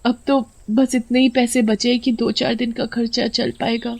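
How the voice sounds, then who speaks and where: A woman's voice: on the high side, level tone, normal speed, vocal fry, darkish tone, pitched above the performer's own voice. A woman voicing a worried, supportive wife in a dramatised story, anxious yet encouraging.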